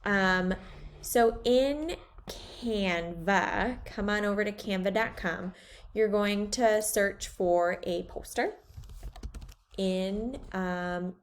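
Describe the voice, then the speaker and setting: A woman art teacher talking students through a website and a poster template, relaxed, with many ums as she thinks aloud. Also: medium pitch, very slow. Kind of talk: screen-share class walkthrough.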